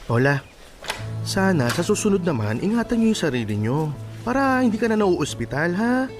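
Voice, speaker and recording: A man's voice: high-pitched, big pitch swings, normal speed, soft background music. An actor playing a caring, easily exasperated young man devoted to his grandmother in a radio drama, earnest and mildly scolding, with a Filipino accent. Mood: concerned.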